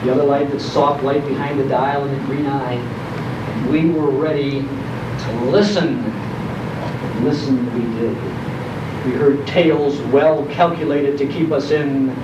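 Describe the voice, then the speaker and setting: An older man with an American accent tells nostalgic stories to an audience with deliberate, dramatic emphasis and pauses. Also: medium pitch, steady tone. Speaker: speaker, old-time radio historian. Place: indoor arts council program. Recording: distant, echoey room with background noise.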